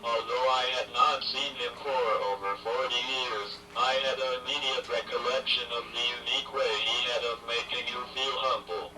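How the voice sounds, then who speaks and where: A man's voice: medium pitch, fairly even intonation, moderate pace, hardly any pauses, far from the mic, very noisy. A man speaking through a computer speech synthesizer, giving an acceptance speech with dry, self-mocking humor. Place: ceremony hall.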